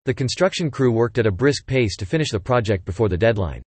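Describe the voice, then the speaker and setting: A man reads English example sentences one after another at a brisk clip, evenly and without flourish. His voice sits at a middle pitch, and the sound is completely dry with no room around it. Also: no room sound. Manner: even, clipped reading at high speed. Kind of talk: scripted sentence reading for English listening practice.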